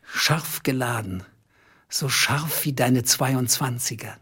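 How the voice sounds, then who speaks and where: Bitter, husky, calculating husband. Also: male voice, medium pitch, fairly bright tone, exaggerated voice. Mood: cold menace. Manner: deliberate, accusing, sarcastic. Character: bitter, calculating small clerk confronting his treacherous wife. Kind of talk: radio drama acting.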